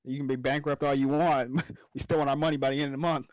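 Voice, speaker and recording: A young man from Oklahoma with an Oklahoma accent, chatting casually as a stream guest, joking and musing in a relaxed way. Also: mid-range voice, no room sound, rough recording. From Oklahoma, USA. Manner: laid-back, joking, drawn-out musing. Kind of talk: casual chat.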